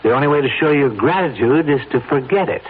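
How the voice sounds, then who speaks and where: A male actor plays a coolly menacing persuader from an old radio play. He is pointed and insistent in an average-pitched voice. The recording is narrow and tinny with a faint layer of noise. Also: animated, quick pace, lightly acted. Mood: persuasive, insistent. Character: coolly coercive man pressing a reluctant friend. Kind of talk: old-time radio drama acting.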